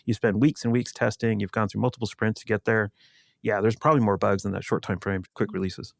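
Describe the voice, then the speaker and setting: A man speaking as an expert guest in an unscripted panel discussion, in a measured explanatory way. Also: fairly low pitch, little pitch movement, vocal fry, no echo, studio quality. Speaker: guest security executive.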